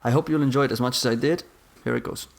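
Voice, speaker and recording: Podcast host man, mid pitch. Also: fast talker, vocal fry, background noise. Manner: reads a scripted intro, then asks questions with some stumbles. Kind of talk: podcast intro and interview.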